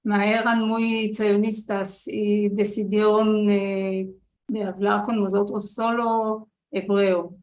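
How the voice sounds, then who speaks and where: A woman from Israel, a guest, answering interview questions in a calm and measured way. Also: mid-range voice. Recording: echoey room.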